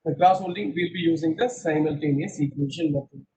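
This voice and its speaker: High-voiced teacher guy on a webcam call, mixes hindi into english, keeps asking the class questions. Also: a little fast, warm tone, slight background noise, rough recording. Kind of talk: online class lecture, quizzing students. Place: small room indoors. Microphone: laptop webcam on a video call.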